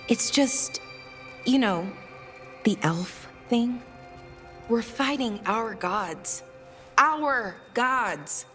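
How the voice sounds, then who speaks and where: An actress playing an earnest, anxious female elf in a video game, halting and self-doubting. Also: on the high side, fairly bright tone, full character voice. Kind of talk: video game dialogue acting. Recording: long reverb, music underneath.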